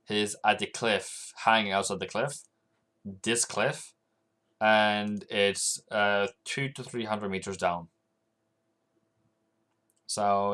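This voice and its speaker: A man's voice: lowish voice, a bit bright, smooth. A man from Norway chatting casually on a trading livestream, rambling and answering viewers' comments as they come in.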